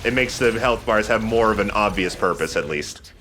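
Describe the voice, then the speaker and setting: A man's voice: lowish voice, normal speed, sharp tone, a little hiss or hum. A man hosting a let's play, chatting casually and agreeably about the game as he goes. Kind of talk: casual gameplay commentary.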